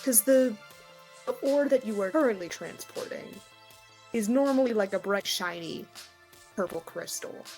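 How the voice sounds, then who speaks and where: A woman's voice: medium pitch, lively intonation, moderate pace, darkish tone, soft background music. A woman running a tabletop game as game master, narrating in an informal, easygoing way.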